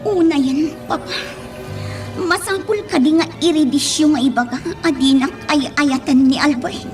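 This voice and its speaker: A woman's voice: high voice, moderate pace, faint music behind, lightly acted. An actress playing a young daughter in a radio drama, arguing with her father about love. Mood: hurt, defiant.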